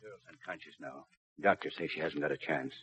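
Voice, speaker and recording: An actor playing a seasoned, matter-of-fact police inspector in a 1950s radio drama, speaking gravely. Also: male voice, extremely low pitch, brisk. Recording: muffled old radio recording, faint noise.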